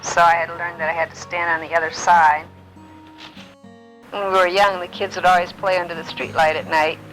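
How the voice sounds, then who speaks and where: An elderly woman from Illinois in her nineties, gently recalling her life in an unhurried way, often pausing with um and uh. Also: lowish voice, level tone, soft background music, rough recording. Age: older. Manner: gentle, unhurried storytelling with many ums and uhs. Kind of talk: reminiscing, answering memory prompts.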